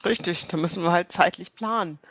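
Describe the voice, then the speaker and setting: A woman's voice: lowish voice, fairly quick, husky, dry recording. Woman role-player, dry close sound. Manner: hesitant, thinking aloud. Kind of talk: casual improvised in-character dialogue.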